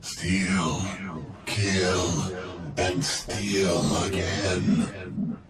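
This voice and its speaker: A tormented spirit of a dead man, cursing bitterly in a video game. The voice is deep and extremely slow, swimming in echo and far away over noise. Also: little pitch movement, over loud music. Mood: anguished, bitter. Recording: echoing, distant, noisy ghostly sound.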